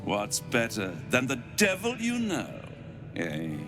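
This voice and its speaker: An actor playing a seductive, cruel and playful incubus in a video game, with theatrical, teasing delivery. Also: male voice, medium pitch, wildly swooping pitch, slow, music in the background. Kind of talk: video game character acting.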